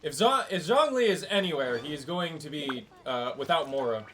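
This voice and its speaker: Lively, irreverent game streamer. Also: medium pitch, normal speed, a little hiss or hum. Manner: irreverent, sweary, playful. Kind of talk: casual live gameplay commentary.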